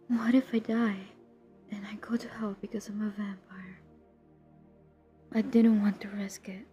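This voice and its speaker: A woman playing a centuries-old, guilt-ridden but determined vampire hunter in an intimate audio roleplay, speaking in a hushed, confessional, breathy voice that often drops to a whisper. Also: mid-range voice, highs cut off, natural voice. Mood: haunted, pained. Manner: hushed, confessional, halting, earnest. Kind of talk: intimate dramatic audio roleplay addressed to the listener.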